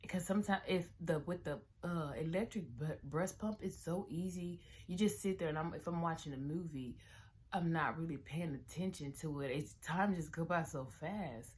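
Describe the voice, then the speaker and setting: A young adult woman vlogging casually at home with a hoarse morning voice, rambling with restarts and sometimes dropping into near-whispers. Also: low voice, moderate pace, slight background noise. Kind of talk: casual chat to camera.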